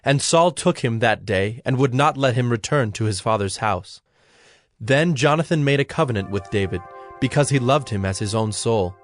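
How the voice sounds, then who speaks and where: A man narrating a dramatized scripture story from a script, in a measured, solemn storytelling manner. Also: medium pitch, expressive. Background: background music.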